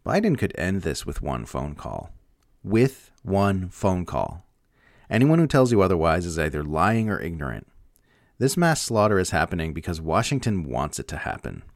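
A man with a very low, gravelly voice reads political commentary aloud. His delivery is emphatic and sardonic, moving widely in pitch, and the recording is close and dry. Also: very animated, moderate pace, no echo. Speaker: narrator reading political commentary. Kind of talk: scripted opinion essay read aloud.

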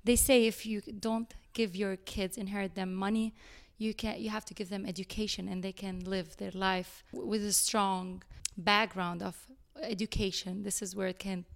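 Lebanese-accented woman with a smooth voice. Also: medium pitch, little pitch movement. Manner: halting, many fillers and restarts, nonstandard grammar. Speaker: guest, chocolate business owner. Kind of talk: casual interview chat.

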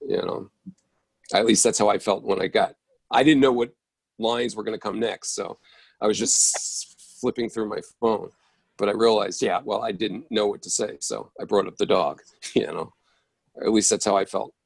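Low gravelly guy on a zoom call, kinda hesitant, dead dry sound. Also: steady tone, moderate pace, dry recording. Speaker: actor in the reading. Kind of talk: casual group discussion. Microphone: video call.

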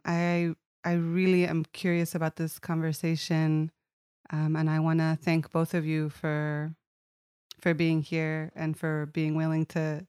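A woman's voice: low-pitched, darkish tone, clean tone, no echo, clean studio sound. A woman hosting a podcast, enthusiastic and welcoming as she introduces her guests. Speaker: podcast host.

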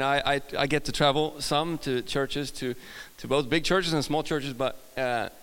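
A man's voice: medium pitch, creaky, fairly bright tone. A man from Sweden with a mixed Swedish accent, preaching as a guest in a church, chatty and self-deprecating with many restarts. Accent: Swedish, mixed with British and American. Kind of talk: sermon. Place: large church hall. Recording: long hall reverb.

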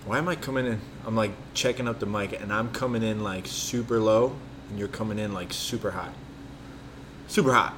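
A man's voice: on the low side, brisk. A man hosting a podcast, rambling and swearing in casual chat, often losing his train of thought. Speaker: podcast host. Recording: faint background noise.

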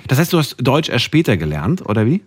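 A man's voice: medium pitch, sing-song, fast, breathy edge. A male radio host chats with a caller and asks follow-up questions in a friendly, conversational way. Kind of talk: phone-in radio show.

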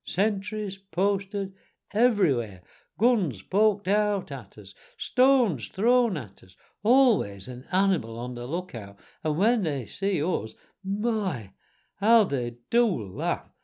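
A man voicing a grumpy, put-upon storybook mole who grumbles with slow emphasis. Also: high voice, very animated, dark tone, pitched above the performer's own voice, heavily acted.

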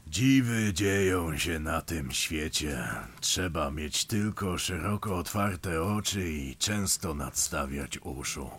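An actor playing a homely male storyteller who addresses listeners in an unhurried, confiding way. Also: sits low, a bit bright, husky, heavily acted. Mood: musing. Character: homely old-style male storyteller by the stove. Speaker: storyteller narrator. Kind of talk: scripted storytelling narration.

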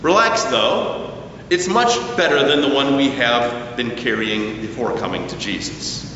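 A man preaching a sermon at a slow, deliberate pace, his voice rising and falling with emphasis. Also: medium pitch, background noise. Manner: slow, deliberate preaching with lively rises and falls. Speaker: pastor. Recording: echoey church hall, distant mic, dull sound.

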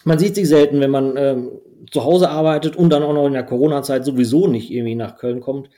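A man's voice: mid-range voice, fairly even intonation, quick pace, warm tone. A man whose first language is Dutch, a podcast guest talking casually and rambling with many fillers. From Belgium. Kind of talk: casual conversation.

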